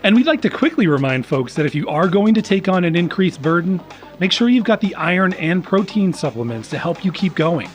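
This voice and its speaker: An actor playing a cheerful male news anchor, with smooth, formal scripted presenter delivery. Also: high-pitched, sing-song, brisk, soft background music. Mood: polished, upbeat. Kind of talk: scripted news broadcast parody.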